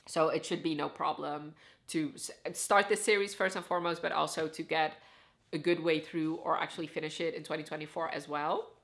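A young Dutch woman talks straight to camera from her living room, chatty and eager. Her voice has a bright, forward edge and sits a little low for a woman. She fills her talk with "like" as she goes. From Netherlands. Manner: chatty, enthusiastic, says "like" often. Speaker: book vlogger. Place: living room with full bookshelves, indoors.

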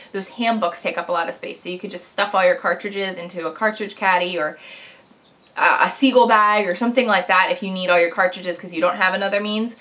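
A woman's voice: mid-range voice, fairly bright tone. A woman in her thirties, stuffy from a cold, hosting a casual crafting tutorial vlog straight to camera at home. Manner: chatty and upbeat, talks straight to camera. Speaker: crafting channel host. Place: small room indoors at home.

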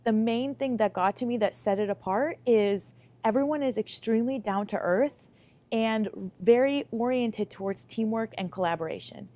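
A woman's voice: on the high side, moderate pace, dark tone. A woman in her late twenties with an American accent, a student researcher giving articulate, explanatory interview answers. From Lithuania, moved to the US Midwest at about eight. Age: late 20s. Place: laboratory, indoors. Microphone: lapel mic.

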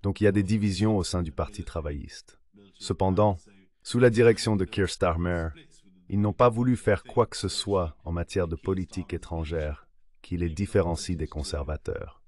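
A man's voice: low-pitched, moderate pace, a little mellow. A synthetic male voice delivering a translated voice-over of an interview guest's answers.

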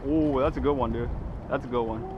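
A young man chatting excitedly while fishing outdoors on a lake pier, hollering often, recorded on a head-mounted camera. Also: medium pitch, fairly quick. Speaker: angler filming the trip. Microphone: head-mounted action camera. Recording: dull camera audio, loud wind. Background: wind on the mic, waves.

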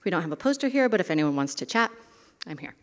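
A woman's voice: on the low side, big pitch swings, fast, a little hiss or hum. Woman presenting at a podium. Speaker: conference presenter. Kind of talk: short conference presentation. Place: large hall, at a lectern. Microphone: podium microphone. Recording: hall reverb.

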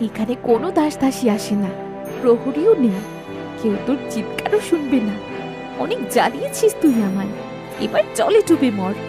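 A woman's voice: high voice, sing-song, moderate pace, music in the background. A woman voicing a haughty, scheming stepmother queen in a fairy-tale cartoon, commanding and two-faced. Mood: cruel, gloating.

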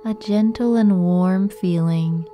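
A woman leading a guided meditation in a gentle, soothing, unhurried manner with long pauses. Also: medium pitch, music in the background.

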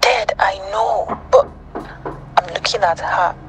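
An actor playing an anxious young man who talks urgently and in hushed tones, sounding shaken. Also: sits high, slow, noisy background. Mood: alarmed. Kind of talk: film drama acting.

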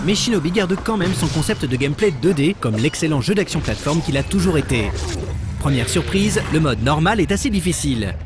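Male reviewer voice-over, animated delivery over clear music. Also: on the high side. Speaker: game reviewer. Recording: voice-over with loud music bed.

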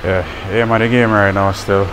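A man in his thirties chatting casually to viewers as a fitness vlogger, in a laid-back, unhurried way. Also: little pitch movement, music in the background, poor audio. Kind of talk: casual vlog chat. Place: car at night, then a large indoor gym. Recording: loud ambient noise, rumbling ventilation.

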